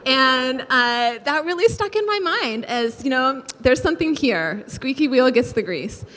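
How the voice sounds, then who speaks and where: A woman's voice: sits high, animated, measured pace, fairly bright tone. A woman speaking on a panel, telling personal stories in an earnest way, with many fillers and restarts. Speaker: panelist, state legislator. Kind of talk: panel discussion, personal storytelling. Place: large hall, panel on stage. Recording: reverberant hall, faint noise.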